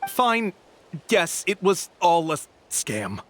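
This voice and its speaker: A man's voice: high-pitched, sing-song, slow, heavy background noise, exaggerated voice. A man voicing a shifty, evasive scammer in a video game, hesitant and grudging. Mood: cornered, grudging. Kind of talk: video game character acting.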